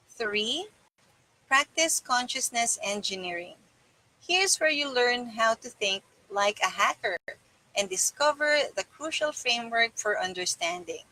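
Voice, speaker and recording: A woman's voice: on the high side, bright, forceful tone, rough recording. A middle-aged woman reading a self-help book aloud in a small room indoors. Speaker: reader.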